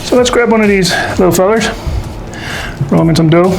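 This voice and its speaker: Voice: mid-range voice, a little fast, vocal fry, over music, low-quality recording. A folksy, jokey host casually talking through a baking demonstration to camera. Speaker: host of a paranormal show doing a baking segment.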